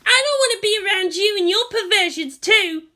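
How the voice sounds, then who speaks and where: A haughty, hot-tempered teenage girl from an anime-style story. She is high and piercing, with a defiant, grumbling sulk. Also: animated, brisk, sharp tone, exaggerated voice. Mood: angry, whining.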